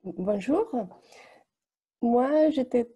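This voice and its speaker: Woman lecturer from Chile, husky and dark, dry sound, hesitant. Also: on the high side, expressive, no room sound. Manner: halting, many fillers and restarts. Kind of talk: short testimonial.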